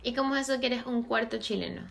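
Curious woman with a gravelly edge. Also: fairly high pitch, steady tone, noisy background. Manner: curious, quick with questions. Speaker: host. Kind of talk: casual chat.

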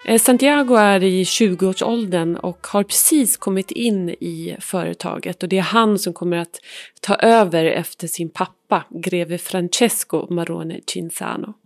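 A woman's voice: lowish voice, normal speed, no echo. A woman hosting a podcast, introducing and questioning a guest with short, friendly prompts. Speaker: podcast host. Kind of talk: podcast interview.

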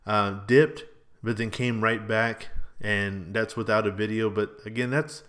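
A man in his early thirties with a fairly low voice talks like a solo podcast host. His delivery is loose and rambling, full of informal slang and exclamations, as if chatting with friends. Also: fairly even intonation, unhurried, a bit bright.

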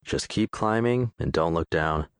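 A man's voice: low-pitched, expressive, measured pace, no room sound, studio quality. A man narrating a first-person memoir audiobook in a laid-back, straightforward way.

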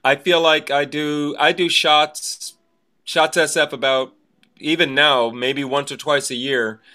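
Bright-voiced man chatting casually. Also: fairly high pitch, level tone, unhurried. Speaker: podcast co-host. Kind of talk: casual conversation.

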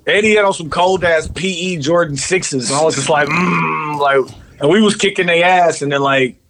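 A man co-hosting a casual podcast, telling a story in relaxed, slangy talk. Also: fairly high pitch, moderate pace, slight background noise. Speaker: podcast co-host. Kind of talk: casual chat.

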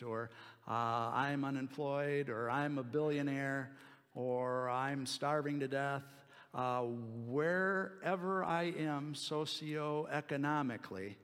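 A man preaching an expository sermon in a deliberate, explanatory way, pausing between short phrases. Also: mid-range voice, a bit bright. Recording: long hall reverb.

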